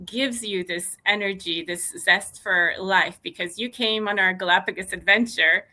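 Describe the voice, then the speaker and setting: Bright-voiced, encouraging woman interviewer. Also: mid-range voice, normal speed. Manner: supportive, encouraging. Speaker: podcast interviewer. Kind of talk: conversational interviewing.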